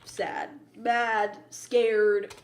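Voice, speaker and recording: A woman's voice: medium pitch, level tone, very slow. A talkative, opinionated woman co-hosting a podcast, giving informal film commentary in casual conversation. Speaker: podcast co-host reviewing films. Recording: echoey, roomy sound.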